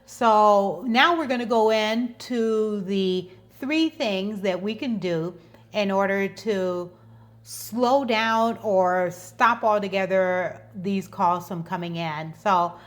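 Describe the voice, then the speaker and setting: A woman's voice: medium pitch, takes it slowly. A woman in her fifties hosting an informal explainer vlog, talking conversationally and often repeating words as she goes. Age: 50s. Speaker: channel host and business owner.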